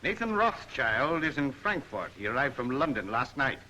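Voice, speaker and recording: An actor playing a dutiful colonel, deferential and formal, in 1930s film style. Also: male voice, medium pitch, big pitch swings, moderate pace, bright, forceful tone, poor audio. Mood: eager, dutiful. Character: dutiful, crisp colonel reporting to his master. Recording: 1930s film soundtrack with faint noise.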